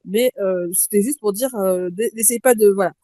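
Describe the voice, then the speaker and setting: A woman's voice: medium pitch, moderate pace, warm tone, dry recording. A woman taking part in a casual live audio discussion, speaking hesitantly with many fillers and a wry tone. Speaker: participant.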